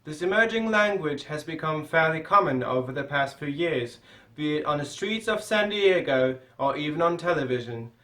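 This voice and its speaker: A man's voice: fairly high pitch, lively intonation, normal speed, pushed and bright, roomy sound, rough recording. A young man reading a scripted news report in English as the anchor. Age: young adult.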